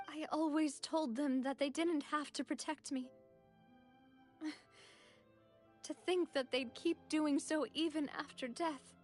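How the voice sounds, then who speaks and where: A woman's voice: high-pitched, steady tone, a little mellow. A woman playing an earnest, grieving young noblewoman in a video game, with a wistful manner. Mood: sad, wistful. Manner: sighing, wistful, unhurried. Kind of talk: video game character dialogue. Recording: music playing behind the voice.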